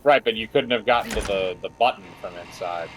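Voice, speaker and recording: Casting: male gaming co-commentator, lowish voice, casual and musing, faint noise behind. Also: brisk. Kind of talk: casual let's-play commentary.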